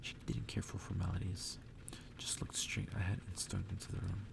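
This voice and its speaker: A man reading a story aloud in a hushed, breathy ASMR whisper. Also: little pitch movement, normal speed. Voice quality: breathy whisper, gravelly low voice. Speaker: ASMR reader.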